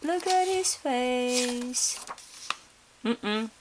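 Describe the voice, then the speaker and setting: A woman reading a picture book aloud to children in an expressive storytelling style, pausing to put questions to her listeners. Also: a little high. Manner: pauses to question young listeners. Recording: faint background hiss.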